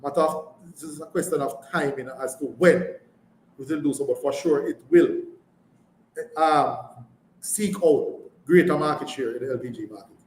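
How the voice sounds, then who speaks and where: A man's voice: on the high side, moderate pace, darkish tone. A Jamaican man answering as a chief executive on a live earnings call, emphatically repeating words and phrases. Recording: echoey room, background noise.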